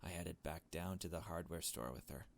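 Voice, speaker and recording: A man with a fairly low voice narrates a visual novel as he plays. He moves between reading the text aloud and making casual, blunt remarks of his own. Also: normal speed, vocal fry. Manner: casual, blunt asides with swearing. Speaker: gaming video host.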